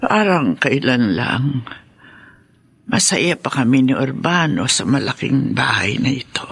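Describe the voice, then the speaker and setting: An actress playing a regretful, lonely mother in her seventies, speaking slowly and sorrowfully in a Filipino radio drama. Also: rumbling low, very animated, creaky, slightly rough, soft background music, full character voice. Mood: wistful, sorrowful. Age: 70s. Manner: sorrowful, slow, emotional. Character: regretful, lonely 75-year-old mother recalling happier family days.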